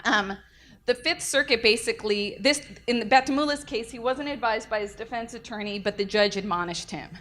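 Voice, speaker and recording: A young adult woman, a defense attorney, giving an energetic conference lecture into a microphone. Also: on the high side, a little fast. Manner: energetic, lively, self-described loud. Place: conference room. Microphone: handheld or podium mic.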